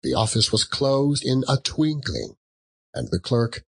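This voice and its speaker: A man narrating a classic story as an audiobook, theatrical, with dramatic and deliberate phrasing. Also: medium pitch, sing-song, husky.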